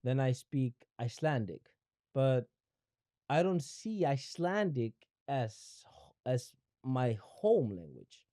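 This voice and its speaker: A man's voice: mid-range voice, very animated, takes it slowly, dark tone, dry recording, studio quality. A young man from Ecuador whose first language is Spanish, talking as a podcast guest in a reflective, searching way, often restarting and repeating words. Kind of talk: reflective conversational interview.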